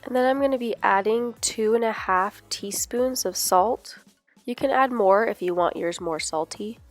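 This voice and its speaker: A woman who is sick and sounds a little nasal, narrating a cooking tutorial step by step in a relaxed, instructional way. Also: medium pitch, moderate pace, soft background music. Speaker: cooking vlogger.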